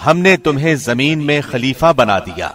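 A man reading a scripture translation from a script in a formal, measured, solemn manner. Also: medium pitch, very animated, a bit bright. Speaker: radio host reading a translation.

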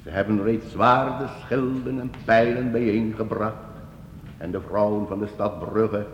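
Guy doing a flowery medieval minstrel in a radio play, slow n lowish voice, declamatory, very humble, echoey. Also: steady tone, natural voice. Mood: deferential, solemn. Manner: florid, declamatory, deferential. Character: grandiloquent wandering medieval minstrel, flattering and humble. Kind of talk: radio drama acting. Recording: echoey, noisy old radio play recording.

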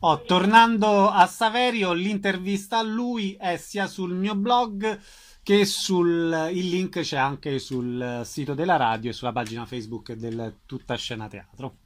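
A man hosts a radio programme. His voice sits a little higher than most men's, and he speaks as a show presenter. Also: a little slow, a little hiss or hum. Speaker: radio host.

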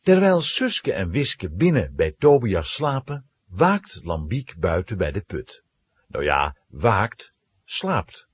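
A man giving dramatic, expressive scripted narration of a children's story. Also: low voice, slow, slightly rough.

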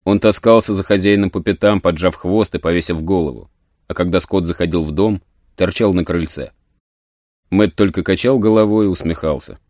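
A man's voice: sits low, moderate pace, a little mellow, no room sound, clean studio sound. A man narrating a story as a scripted dramatic audiobook. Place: studio.

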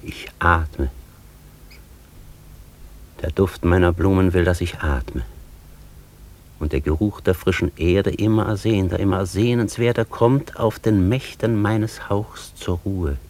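An actor playing a rapt, contemplative scholar, speaking solemnly and declaiming poetically in a radio play. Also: male voice, low voice, a little slow, long pauses, darkish tone. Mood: awed, rapt.

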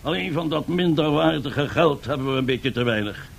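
Strutting Italian fascist leader from a radio play. His husky, creaky voice sits a little high. He speaks in pompous, self-admiring rhetoric, grand and boastful throughout. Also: animated, moderate pace. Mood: boastful persuasion. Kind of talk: 1970s radio drama acting.

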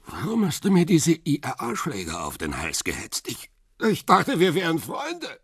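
An actor playing a beaten, drunk photographer in a radio drama, husky and erratic, lurching from groggy to shouting. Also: male voice, on the high side, wildly swooping pitch, unhurried, no room sound, studio quality, exaggerated voice. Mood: groggy, suspicious. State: drunk and battered. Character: beaten, drunk male photographer, distrustful and volatile.

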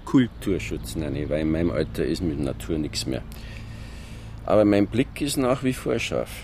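An actor playing an older, wryly crude Bavarian kiosk regular with a gravelly voice in a radio drama. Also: male voice, rumbling low, lively intonation, normal speed, darkish tone, slight background noise, heavily acted. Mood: wry teasing. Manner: wry, bantering, crude. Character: grumbling, joking older male regular customer at a kiosk. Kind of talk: radio drama acting in dialect.

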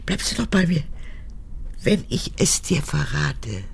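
An old woman in a radio drama, a former camp guard with no remorse. Her voice is husky and cracked, sliding between whispers and high childlike pleading, with wide swings in pitch. She is capricious and manipulative, with music underneath. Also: very animated, slow, exaggerated voice. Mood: pleading, wheedling. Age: 80s. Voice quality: husky, gravelly, often breaking into whispers.

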